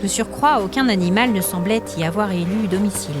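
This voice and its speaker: A woman narrating scripted fiction as a storyteller, with measured, literary phrasing. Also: medium pitch, over music.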